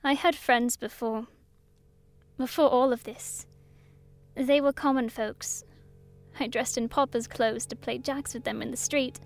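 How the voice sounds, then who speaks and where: A young orphaned princess in an audio drama, acted by a woman. Her voice is high and childlike, earnest and resolute beneath old hurt. Soft music runs underneath. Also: steady tone, a little slow, vocal fry, long pauses, dry recording. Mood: hurt, confiding.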